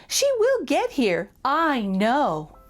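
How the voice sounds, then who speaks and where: A woman's voice: sits high, wildly swooping pitch, extremely slow, exaggerated voice. Woman reading a soothing mama shark. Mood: reassuring. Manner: soothing, confident. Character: calm reassuring mother shark.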